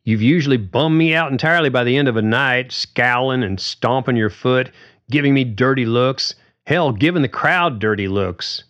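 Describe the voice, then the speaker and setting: A man's voice: medium pitch, animated, measured pace, voice pushed up. A man voicing a blunt, carefree male bandmate who drinks and swears freely, in a needling, riled-up way. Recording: dull lo-fi recording.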